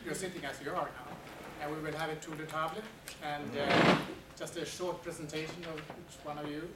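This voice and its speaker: A man with a slightly higher voice moderates a seminar and keeps the tone light. He sounds far from the mic in an echoey room. Loud noise sits behind him. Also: flat delivery, a little fast. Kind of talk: moderating a round of introductions.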